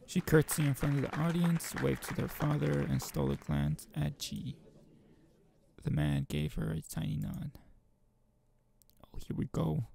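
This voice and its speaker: A man reading a visual novel aloud and commenting casually as a channel host, informal and halting, with a gravelly, husky voice. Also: a little high, animated, mellow.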